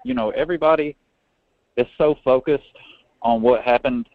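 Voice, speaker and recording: A man calling in to a sports show, relaxed and friendly, with hesitant fillers. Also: medium pitch, normal speed, no room sound. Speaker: caller. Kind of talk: casual sports chat. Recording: tinny dry phone line.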